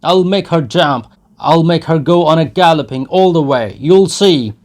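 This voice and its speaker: A man shouting as a drunken brutish peasant. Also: a little high, normal speed, voice pushed up, natural voice. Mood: boastful shouting. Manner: bellowing, boastful. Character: drunken young male peasant, loud brute.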